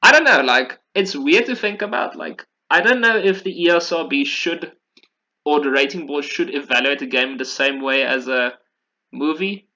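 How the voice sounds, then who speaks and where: A man's voice: fairly high pitch, normal speed, bright, forceful tone, dull audio. A man hosting a podcast, talking casually and with opinions about video games, often repeating phrases for emphasis. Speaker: podcast host.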